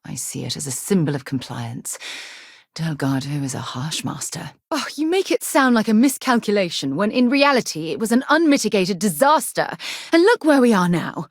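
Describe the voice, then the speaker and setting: An actress playing a principled, commanding middle-aged woman explorer and former naval officer in a video game, speaking in a crisp, articulate, earnest way. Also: medium pitch, very animated, moderate pace, no echo, clean studio sound. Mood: indignant, exasperated. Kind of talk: acted video game companion dialogue.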